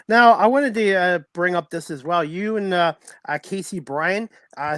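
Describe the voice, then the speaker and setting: A man with a fairly high voice hosts a casual sports interview show. He speaks conversationally and a little haltingly, repeating words as he forms a question or thought. The sound is dry, with very little room in it. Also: a bit bright, dry recording. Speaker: sports talk show host.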